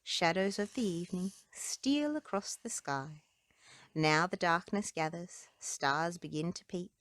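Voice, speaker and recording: A woman's voice: fairly low pitch, expressive, a little slow, a bit bright, dry recording. A woman reading children's storybooks and nursery rhymes aloud as a narrator. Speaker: children's book reader.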